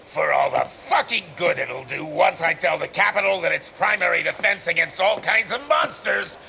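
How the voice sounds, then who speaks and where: An actor playing a pompous, foul-mouthed, domineering government secretary in a video game, blustering and demanding with gravel in the voice. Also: male voice, sits high, animated, moderate pace, pushed and bright, a little hiss or hum, exaggerated voice. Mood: irate, contemptuous. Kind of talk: video game cutscene acting.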